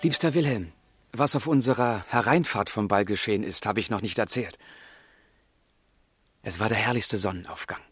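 An ardent young man from a romantic literary radio drama. His voice sits fairly low with a husky grain. He speaks earnestly and poetically, full of melancholy longing. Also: normal speed, pauses often. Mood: tender, reflective. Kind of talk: German literary radio drama, narration and dialogue.

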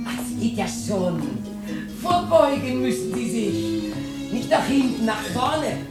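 A woman's voice: on the high side, slow. An actress playing a coquettish, wistful older woman in a radio drama. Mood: excited, encouraging. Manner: animated, humming and coaxing. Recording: roomy radio drama with music behind.

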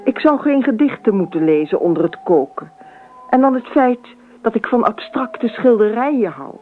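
An actress playing a dreamy, imaginative, talkative wife in a radio drama, with expressive theatrical delivery. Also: female voice, fairly high pitch, moderate pace, soft background music, natural voice. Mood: recounting, indignant. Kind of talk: radio drama monologue and dialogue. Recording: tinny, narrow old radio recording.

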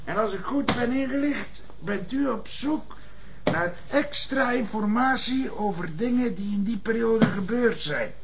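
Slow, very high-voiced old Flemish ex-commissioner. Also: male voice, animated, a bit bright, slight background noise, heavily acted. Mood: leisurely, self-assured. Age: older. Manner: formal, leisurely. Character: unhurried old retired police commissioner, self-assured. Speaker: actor. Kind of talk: TV police drama acting.

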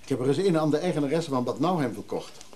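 An actor playing an obliging but guarded antique dealer in a Dutch radio drama, measured and understated. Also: male voice, a little high, animated, darkish tone, a little hiss or hum, natural voice.

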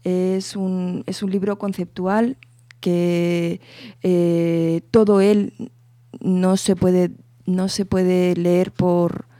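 A woman with a low, mellow voice talks through her poetry project in a radio interview, circling back and restarting as she thinks. The sound is dry and close, with no room around her. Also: very slow, no echo. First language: Spanish. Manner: thoughtful, circling restarts, steady explaining. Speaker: poet guest.

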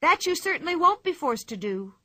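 A cheerful, fearless little girl from a storybook, chirpy and matter-of-fact, as a woman reader voices her. The voice lifts high and sounds bright and sharp, recorded close and dry. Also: big pitch swings, a little fast, dry recording, full character voice.

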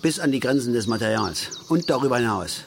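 An actor playing a grumbling, opinionated middle-aged angler in a radio drama, with a folksy, lecturing manner. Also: male voice, mid-range voice, expressive, fairly quick, vocal fry, slight background noise. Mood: wistful, engrossed. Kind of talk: naturalistic German radio drama acting.

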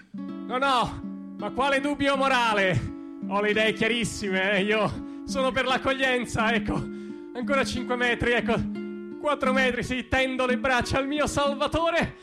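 A man performing a dramatic comic stage monologue as an anxious, conflicted dreamer, halting and repeating himself in agitation. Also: very high-pitched, pitched above the performer's own voice. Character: anxious, conflicted dreamer, a man torn between conscience and panic.

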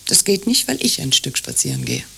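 An actress playing a capricious, commanding woman in radio play dialogue, husky and breathy, with a curt, evasive way of talking. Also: medium pitch, huge pitch swings, fairly quick, pushed and bright, a little hiss or hum, exaggerated voice. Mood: imperious, teasing. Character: capricious, commanding woman in an absurd couple sketch.

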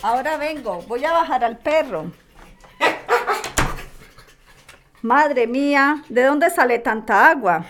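Polite hesitant neighbour lady played by a woman, highish voice, everyday talk, radio play. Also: lively intonation, unhurried, loud music behind the voice. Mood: alarmed. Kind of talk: radio drama acting.

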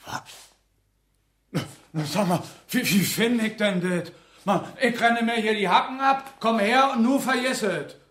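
A man's voice: high voice, unhurried, fairly bright tone. An actor playing a brash, businesslike male hustler in a radio drama, blunt and colloquial. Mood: indignant. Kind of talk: German radio drama acting.

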